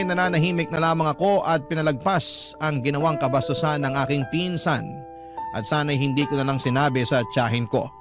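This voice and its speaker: Filipino man narrating over prominent music. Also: a little high. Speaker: radio storyteller. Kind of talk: dramatic scripted narration of a first-person letter.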